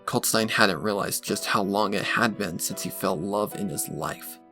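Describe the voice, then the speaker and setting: A man's voice: lowish voice, moderate pace, creaky, sharp tone. A man reading scripted fan fiction as a dramatic narrator. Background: background music.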